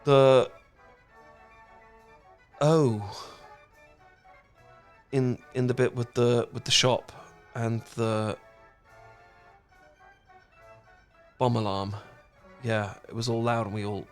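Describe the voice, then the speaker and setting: A man's voice: mid-range voice, steady tone, slow, pauses often, smooth, soft background music, natural voice. A British man playing a cute, awkward young dork in a romantic roleplay, stammering and rambling as he talks. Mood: flustered. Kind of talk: romantic ASMR roleplay acting.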